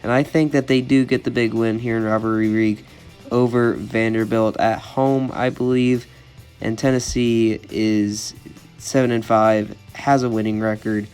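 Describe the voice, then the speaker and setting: A man's voice: fairly low pitch, a little slow, smooth, soft background music. A young man with a cold, sounding congested, giving college football predictions in an opinionated, repetitive way as a channel host. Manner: monotone, opinionated, repeats "I think".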